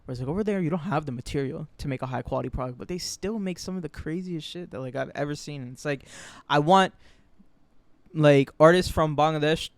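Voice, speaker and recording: A young American man talks casually as a podcast co-host. His voice sits a bit high and sounds very clean and smooth, with almost no room sound. He is slangy and emphatic in an earnest way. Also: a little fast, no room sound.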